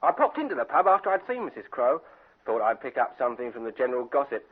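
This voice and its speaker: An actor playing a chatty British detective's assistant in a radio drama, colloquial and informal. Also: male voice, mid-range voice, big pitch swings, quick pace. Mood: chatty, puzzled. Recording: narrow-band vintage radio recording.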